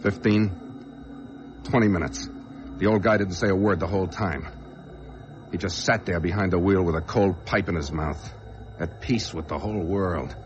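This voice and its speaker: An actor playing a desperate, whining small-time crook on the run in an old radio crime drama, stammering, pleading and intense. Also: male voice, low voice, moderate pace, pauses often, dull audio, close to an everyday voice. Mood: bitter, brooding. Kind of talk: old radio crime drama, dialogue and first-person narration. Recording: noisy vintage radio drama recording.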